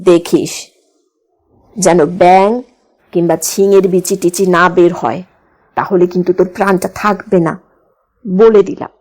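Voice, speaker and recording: A woman's voice: fairly low pitch, fairly even intonation, measured pace, long pauses, darkish tone, voice pushed down. A woman storyteller voicing a threatening male palace doorkeeper in a fairy tale, stern and scornful.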